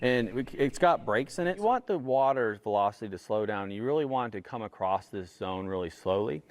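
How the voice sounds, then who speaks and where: A man's voice: on the low side, expressive, fairly quick. A man giving an informal interview as a plant project manager, explaining in a plainspoken, hands-on way.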